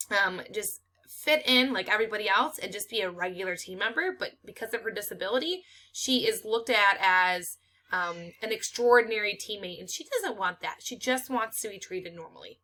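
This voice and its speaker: A woman's voice: mid-range voice, animated, moderate pace, pushed and bright. A woman reading a children's novel aloud expressively, as a teacher does for students.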